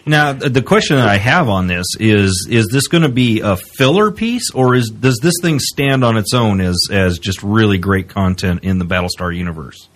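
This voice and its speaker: A man with a fairly low voice works as an interviewer. He puts his questions in a casual, conversational podcast style. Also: animated, moderate pace, few pauses.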